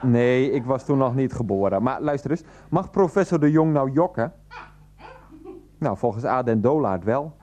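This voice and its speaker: A mellow-voiced, earnest man, played by an actor in a nineties radio drama. He is flustered and placating, hesitating and repeating himself as he tries to be understood. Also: mid-range voice, animated, moderate pace, a little hiss or hum, natural voice. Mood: earnest, coaxing. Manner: hesitant, repetitive, placating, pleading. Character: earnest, flustered adult son and partner, placatingly arguing. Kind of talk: 1990s radio play acting.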